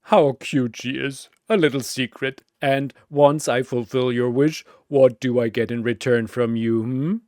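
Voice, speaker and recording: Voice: mid-range voice, fairly even intonation, unhurried, hardly any pauses, a little mellow, no room sound, studio quality, lightly acted. A narrator voicing a manic, gleeful triangle demon with a mocking, theatrical delivery in an audio story. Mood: mocking, sly.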